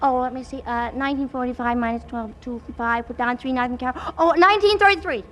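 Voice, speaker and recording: A woman's voice: high-pitched, fast talker, a bit bright, a little hiss or hum. An actress in a 1940s radio comedy playing a grown woman who poses as an artless, chatty twelve-year-old girl, stammering and evasive. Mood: feigned innocence.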